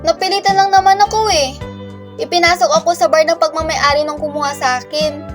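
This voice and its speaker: Woman playing a high-voiced, troubled young student. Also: fairly even intonation, a little fast, a bit bright, faint music behind. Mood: distressed. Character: troubled, fearful, hopeful young female student. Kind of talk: amateur radio drama acting.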